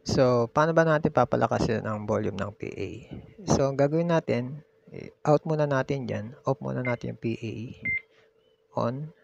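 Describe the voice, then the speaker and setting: A man's voice: medium pitch, moderate pace, vocal fry, dry recording. A Filipino man hosting a casual step-by-step demonstration, explaining in an instructional way and repeating key steps. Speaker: tutorial host. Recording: muffled lo-fi audio with background noise.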